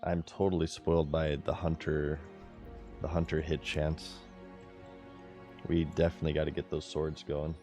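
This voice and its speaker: A man's voice: extremely low pitch, completely flat delivery, normal speed, pauses often, darkish tone, faint music behind. A man streaming a video game, chatting casually to his viewers in a giggly, laid-back way.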